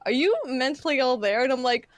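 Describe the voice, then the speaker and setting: Canadian woman, giggly, high voice, dry close sound. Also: brisk, dry recording. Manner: giggly, laughs through her stories. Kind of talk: casual panel chat.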